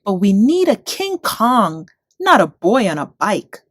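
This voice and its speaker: A woman's voice: medium pitch, sing-song, unhurried, dry recording, studio quality. A woman voicing a doubtful, condescending king in a rhyming children's storybook, theatrical and patronising. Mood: dismissive.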